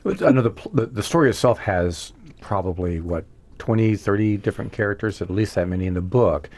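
A man hosting a casual talk show, putting halting, rambling questions to his guests. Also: on the low side, a little mellow. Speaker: radio talk show host. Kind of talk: casual interview.

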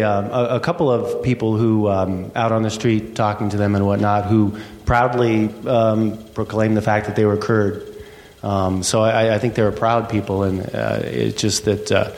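A man recounting a trip in a casual group discussion, halting and repeating words with many fillers. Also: lowish voice, darkish tone. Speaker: trip panelist. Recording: reverberant hall.

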